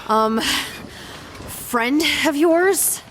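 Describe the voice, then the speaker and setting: A sharp, suspicious adventurer woman in a fantasy audio drama. Her voice is fairly high and her delivery very slow and pointed, with a dry edge, over clear background noise. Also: expressive, full character voice. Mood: wry suspicion.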